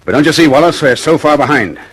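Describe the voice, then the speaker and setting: Brusque, husky British official, fast-talking. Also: male voice, on the high side, animated, fairly bright tone, rough recording. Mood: gruff, businesslike. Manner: barking, clipped, commanding. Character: brusque, impatient, powerful older British male government official. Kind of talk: mid-century radio drama acting.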